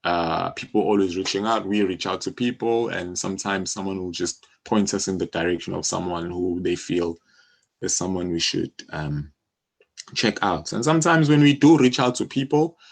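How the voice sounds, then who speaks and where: Man, thirties, low voice, South African accent, informal explanatory interview talk. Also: gravelly. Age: 30s. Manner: informal, explanatory, peppered with "you know". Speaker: interview guest, music publisher. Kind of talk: conversational interview.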